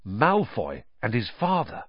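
A man's voice: mid-range voice, wildly swooping pitch, a little slow, no room sound, muffled recording. A man voicing a young boy wizard in a storybook, earnest and flustered, explaining himself hesitantly. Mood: eager.

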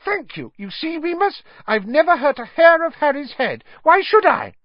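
A man reading an audiobook story, voicing a cowardly, cornered male traitor in a shrill, squealing, panicky, pleading voice. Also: sing-song, moderate pace, no room sound, highs cut off. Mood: desperate relief. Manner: panicky, pleading, stammering protests.

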